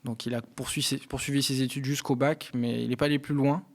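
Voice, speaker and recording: A man from the Lyon area answers as an interview guest, in a conversational way. His voice has an average male pitch, and he often pauses as he looks for the next word. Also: little pitch movement. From Lyon area, France. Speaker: interview guest, parliamentary aide. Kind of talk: conversational personal account in an interview.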